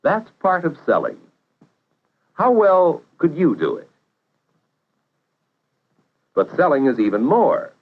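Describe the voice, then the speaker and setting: A man narrating a 1950s educational film in a measured, instructive way, posing questions to the viewer. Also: fairly high pitch, huge pitch swings. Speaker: narrator of vocational training film. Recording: dull vintage 1950s film soundtrack.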